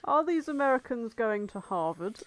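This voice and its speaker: A woman's voice: fairly high pitch, big pitch swings, moderate pace, rough recording. A woman on a podcast, bantering casually and jokily with friends.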